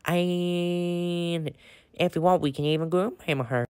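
A woman's voice: lowish voice, fairly even intonation, a little slow, mellow, clean tone, dry recording, studio quality, lightly acted. A woman voicing a chatty, friendly male horse farmer with a Southern accent in a pretend-play story, folksy and effusive. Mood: chatty, welcoming.

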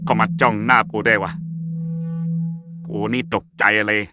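A man's voice: lowish voice, little pitch movement, unhurried, natural voice. A man voicing a crude, bragging schoolboy in a cartoon, telling a tale in blunt, casual slang. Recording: loud music over the voice. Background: loud music.

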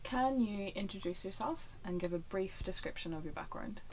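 A woman's voice: mid-range voice, animated, moderate pace, darkish tone, a little hiss or hum, rough recording. A woman interviewer off camera, asking brief, plain questions.

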